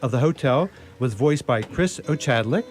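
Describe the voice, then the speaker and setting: A man's voice: medium pitch, expressive, moderate pace. A man announcing a radio troupe's credits live in a formal, wry manner, with guitar music behind him. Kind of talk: scripted credits read live, with wry humour.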